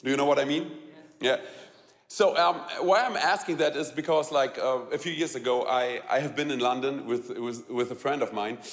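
A man with a German accent tells a personal story to a live audience, halting and repeating words, laughing often and asking the audience questions. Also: medium pitch, long reverb tail. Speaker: speaker telling a personal story.

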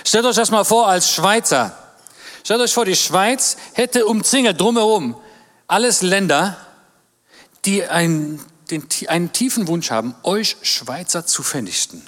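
A man in his fifties delivers a guest sermon in a slightly high voice, swinging his pitch up for emphasis and asking the congregation for amens. His voice rings out with the long reverb of a church hall. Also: a little slow. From born in Germany, raised in Israel. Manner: animated, rises in pitch for emphasis, calls for amens from the audience. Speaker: guest preacher.

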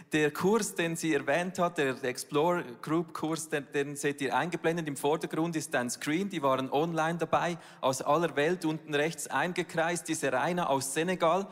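A church leader giving a personal, earnest talk at a service. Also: female voice, low voice. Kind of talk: sermon-style church talk. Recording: long hall reverb.

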